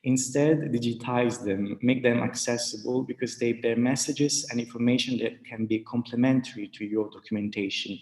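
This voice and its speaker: A man presents formally at an academic conference, reading carefully worded prepared remarks. His voice is average in pitch. The recording is roomy, with clear echo around his words. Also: steady tone, normal speed, hardly any pauses, darkish tone.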